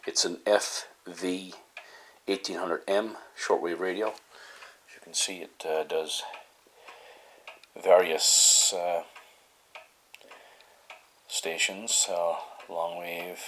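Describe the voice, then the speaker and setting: A man giving a casual hands-on repair demonstration, talking haltingly with frequent pauses mid-sentence. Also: deep voice, little pitch movement, a bit bright, slight background noise. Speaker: hobbyist host of a repair video series.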